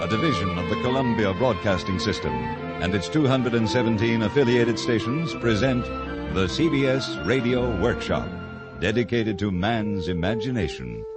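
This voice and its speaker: An American man announcing formally in 1950s network radio style. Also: on the low side. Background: loud music.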